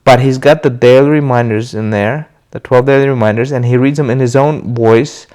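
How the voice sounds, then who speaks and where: Casting note: man, mid pitch, stuffy from a cold, easy unscripted talk with frequent fillers. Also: moderate pace. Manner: relaxed, loose, with many uh fillers and restarts. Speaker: video host sharing his recovery. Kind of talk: casual talk to camera.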